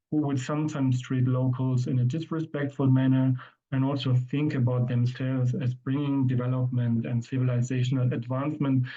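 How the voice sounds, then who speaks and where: A man's voice: medium pitch, warm tone. Man giving a muffled academic talk. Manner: measured, frequent ums and restarts. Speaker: conference presenter.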